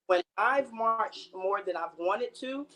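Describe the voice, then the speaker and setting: A woman's voice: mid-range voice, slow pace, a bit bright. An American woman in her late thirties speaking casually and with passion as an interview guest and activist. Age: late 30s. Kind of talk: casual, impassioned conversation.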